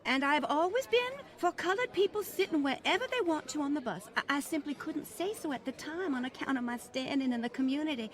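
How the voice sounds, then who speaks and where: A woman playing a genteel older lady, high voice, prim, condescending, fluttery politeness, old-time radio drama acting. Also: animated, brisk, few pauses. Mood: flustered, disapproving. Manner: prim, fluttery, affected politeness with flustered repetition.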